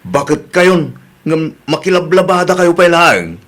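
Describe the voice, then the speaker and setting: A man's voice: fairly high pitch, big pitch swings, unhurried, a bit bright. A man with an Ilocano accent acting a meddling, nagging village neighbour and husband in a radio drama. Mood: reproachful.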